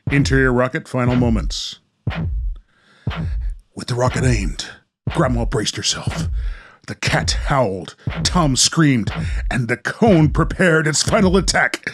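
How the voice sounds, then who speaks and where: A man's voice: medium pitch, unhurried, long pauses, fairly bright tone, faint music behind. A man hosting a show, narrating a radio play and talking casually. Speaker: host and narrator.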